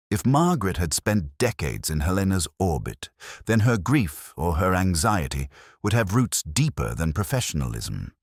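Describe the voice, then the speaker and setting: A man narrating a scripted detective story in the first person, with a measured, reflective, literary delivery. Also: rumbling low, husky, dry recording, studio quality.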